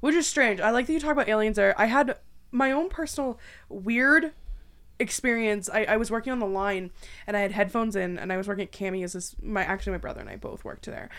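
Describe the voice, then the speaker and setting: A woman's voice: medium pitch, fast talker, fairly bright tone. A young woman with a Canadian accent chatting informally and colloquially as a podcast host. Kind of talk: casual chat.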